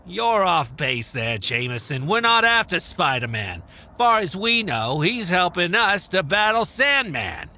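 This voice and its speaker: A man's voice: sits high, sing-song, unhurried, pushed and bright, full character voice. A man playing a firm, cautious police captain in a comic dub, curt and authoritative. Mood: firm, annoyed.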